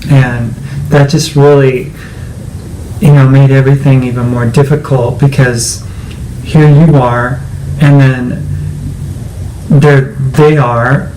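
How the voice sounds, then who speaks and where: A man's voice: medium pitch, level tone, slow pace, pauses often, mellow, slight background noise, poor audio. A man co-hosting a personal conversation, talking reflectively and often searching for his words. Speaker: co-host.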